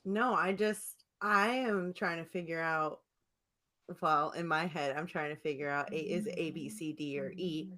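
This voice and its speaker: A woman's voice: low-pitched, a little slow, bright, forceful tone. A woman co-hosting a podcast in a casual chat, talking in a rambling, teasing way. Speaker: podcast co-host.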